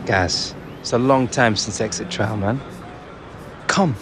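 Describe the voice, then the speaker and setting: An actor playing a confident, easygoing young English footballer in a game. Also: male voice, fairly low pitch, takes it slowly. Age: young adult. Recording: game cutscene under loud music, city noise.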